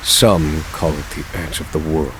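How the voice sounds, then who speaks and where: A grim, vengeful scholar from a video game, with an extremely deep voice. He speaks slowly and solemnly, weighing each phrase. Clear ambient noise sits behind him. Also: fairly even intonation. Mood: brooding. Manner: slow, deliberate, solemn. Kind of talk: dramatic game narration and dialogue.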